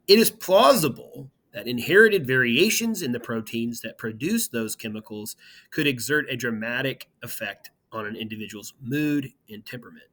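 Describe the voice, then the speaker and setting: A man's voice: mid-range voice, fairly bright tone, rough recording. A man teaching his students, first reading an article aloud steadily and then speaking casually to them about the assignment.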